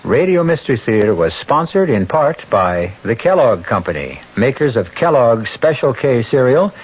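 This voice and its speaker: A man hosting a radio show with theatrical, measured scripted narration. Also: mid-range voice, sing-song, breathy edge. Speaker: host and announcer. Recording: muffled 1970s radio broadcast.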